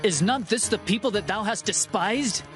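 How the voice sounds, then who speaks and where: An actor playing a scornful biblical city official in a dramatized scripture reading, taunting and declamatory. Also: male voice, high-pitched, expressive, moderate pace, fairly bright tone, faint music behind. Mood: mocking, challenging.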